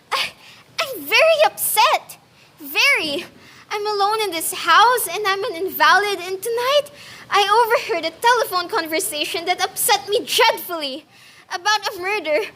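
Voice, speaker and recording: A woman's voice: very high-pitched, pushed and bright, full character voice. A woman playing an anxious, imperious, self-pitying invalid in a radio play, fretful and insistent. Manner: fretful, insistent, breaking into panic.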